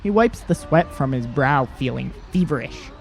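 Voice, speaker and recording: A man's voice: medium pitch, animated, moderate pace, gravelly, faint music behind. A man reading scripted present-tense narration for an audio drama.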